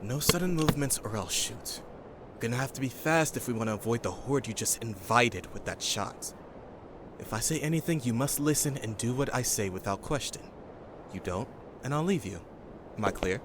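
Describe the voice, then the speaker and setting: A man's voice: medium pitch, expressive, moderate pace, noisy background, natural voice. Stern, guarded young survivor played by a man. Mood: stern, exasperated. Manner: serious, terse, exasperated. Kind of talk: audio drama acting.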